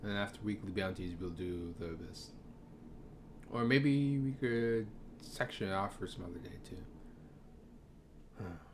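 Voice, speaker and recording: A man's voice: low voice, unhurried, pauses often. A man streaming a video game, thinking aloud in casual, rambling commentary full of fillers and hesitations. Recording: noisy background ambience.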